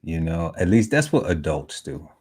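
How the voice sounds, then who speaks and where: A man's voice: a bass voice, sing-song, moderate pace, darkish tone, breathy edge. A man in his forties chatting casually on a podcast panel, talking in a loose, laid-back way with many fillers. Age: 40s. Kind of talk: casual chat. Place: home podcast setup.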